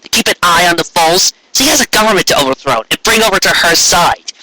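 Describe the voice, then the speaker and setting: A man's voice: high-pitched, animated, fast talker, bright, forceful tone, no room sound, heavily acted, rough recording. A man playing a blunt, determined young female pony who urges others on in a story.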